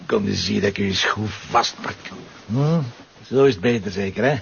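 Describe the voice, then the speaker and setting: A hungover, grumbling Flemish police commissioner in an audio drama. He is gruff, sardonic and affectionate, with a gravelly, creaky voice. The recording is dull, with faint noise. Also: lowish voice, moderate pace. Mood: coaxing, affectionate. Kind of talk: naturalistic audio drama acting.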